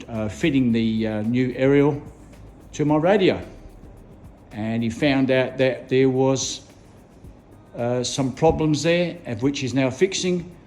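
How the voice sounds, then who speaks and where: A man's voice: mid-range voice, a little mellow. A middle-aged man, a tour guide, casually explaining car radios and aerials in a radio shop and workshop, with many ums and uhs. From Australia.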